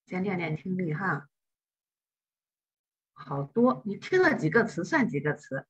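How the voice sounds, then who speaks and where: A woman's voice: fairly low pitch, sing-song, a little slow, breathy edge. A woman teaching English in an online class, explaining patiently and step by step.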